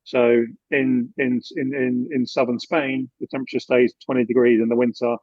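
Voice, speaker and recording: A man from the UK chatting in an interview, relaxed, with many fillers and restarts. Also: medium pitch, moderate pace, dry recording, highs cut off. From United Kingdom. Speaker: guest, expat finance expert. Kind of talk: casual interview conversation.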